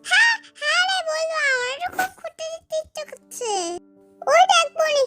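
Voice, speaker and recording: An eager little girl played by a woman from a puppet story, with an extremely high, childish voice. She is sweet and coaxing, and faint music plays behind her. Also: moderate pace, bright, forceful tone.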